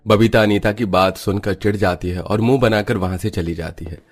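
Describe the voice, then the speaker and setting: A man's voice: lowish voice, steady tone, fairly quick. A man giving scripted narration for a cartoon story, as its storyteller.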